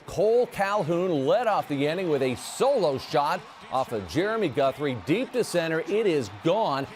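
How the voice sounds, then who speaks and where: A man anchoring a local TV sports desk, reading highlights in a brisk, punchy manner. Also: sing-song, a little mellow, slight background noise. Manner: brisk, punchy play-by-play delivery.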